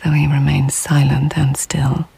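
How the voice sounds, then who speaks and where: An actress playing a reflective court lady of old Japan, narrating in a measured, poetic, breathy near-whisper for a radio drama. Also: low voice, little pitch movement, warm tone, exaggerated voice, rough recording. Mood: quiet, contemplative. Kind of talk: intimate audio drama narration.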